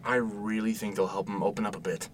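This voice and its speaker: A man playing a gentle, eager, protective father in an audio drama, speaking softly and haltingly in a naturalistic style. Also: fairly low pitch, little pitch movement, recorded from a distance, slight background noise, natural voice. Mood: reassuring. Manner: hesitant, soft-spoken, stammering when worked up.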